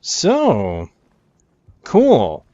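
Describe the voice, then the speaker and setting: A man's voice: medium pitch, wildly swooping pitch, extremely slow, mellow. A man hosting a gaming video, explaining things casually and in an upbeat way as he plays. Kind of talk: casual game preview commentary. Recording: dry, slightly dull microphone.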